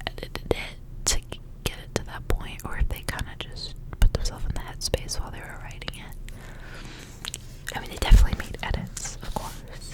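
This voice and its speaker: A woman whispering softly in a breathy, rambling ASMR style, as a host reading and chatting casually. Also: rough recording. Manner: soft, rambling, repeats words.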